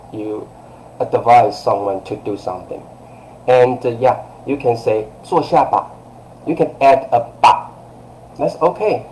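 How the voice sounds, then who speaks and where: A man's voice: lowish voice, a little mellow, a little hiss or hum, low-quality recording. A man in his thirties giving a live language lesson from a small room, patient and repetitive, with lots of okays and uhs. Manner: patient, repetitive, fillers like okay and uh. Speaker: Chinese teacher. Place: small room by a curtained window. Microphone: webcam close-up.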